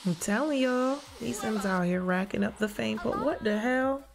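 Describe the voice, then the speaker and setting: A young woman livestreaming a life-sim game, chatting casually with her viewers in a lively, joking, profane way on a headset mic. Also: on the high side, moderate pace, gravelly. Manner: lively, joking, talks back to chat, profane slang. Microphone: headset mic at desk. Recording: game music playing behind.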